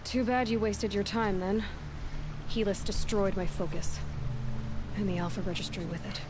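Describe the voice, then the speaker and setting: A woman's voice: mid-range voice, fairly even intonation, moderate pace, very noisy, close to an everyday voice. An actress playing a guarded, headstrong young woman hunter in a video game, talking in a blunt, dry, sarcastic way. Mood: bitter, sarcastic.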